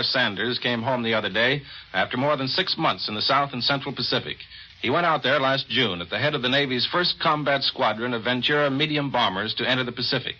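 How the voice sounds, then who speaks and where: American guy reporting on 40s radio, bright sharp voice, mid pitch, dull old sound. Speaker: news correspondent, interviewer. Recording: dull vintage 1940s radio recording.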